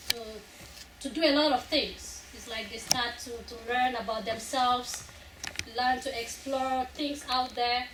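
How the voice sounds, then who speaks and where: A young woman from Africa, the playwright and an actress, earnestly explaining at length to an audience after a stage play. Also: high-pitched. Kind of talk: post-show question and answer. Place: college theater hall. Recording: echoey hall, far from the mic, noisy.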